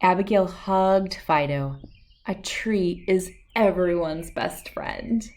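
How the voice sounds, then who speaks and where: A woman reading a children's picture book aloud in an expressive storytelling style. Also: lowish voice, takes it slowly.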